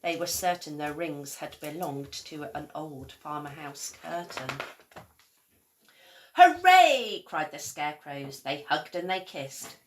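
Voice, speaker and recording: A woman reading a children's story aloud in a measured, expressive storytelling manner, like a primary school teacher. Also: low-pitched, slight background noise.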